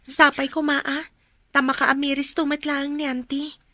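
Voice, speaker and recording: High, bright young Filipino student daughter. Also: female voice, little pitch movement, normal speed, no room sound. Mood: earnest. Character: young female student, dutiful daughter, plaintive but resolute. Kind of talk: Ilocano radio drama acting.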